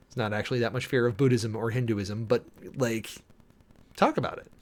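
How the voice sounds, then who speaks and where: A man giving casual, opinionated livestream commentary as the host, informal and emphatic, often repeating phrases for stress. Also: medium pitch. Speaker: live streamer hosting a political commentary show.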